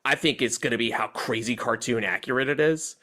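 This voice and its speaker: A man's voice: medium pitch, a little fast. Casual, opinionated man chatting about movies. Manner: animated opinions with swearing and slang. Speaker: movie podcast host. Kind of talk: casual chat, film discussion.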